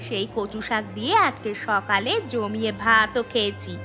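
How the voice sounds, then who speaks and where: A woman's voice: mid-range voice, fairly even intonation, normal speed, natural voice. A woman voicing a frank, thrifty village neighbour in a cartoon story, with an emphatic, gossipy manner. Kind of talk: Bengali moral-story cartoon dialogue. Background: background music.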